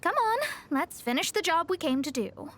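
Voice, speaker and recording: High-voiced proud young astrologer. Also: female voice, sing-song, moderate pace, fairly bright tone, exaggerated voice. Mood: serious, reflective. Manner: self-assured, articulate, slightly haughty. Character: proud, theatrical young female astrologer, quick to boast. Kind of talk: video game voice acting. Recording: music playing behind.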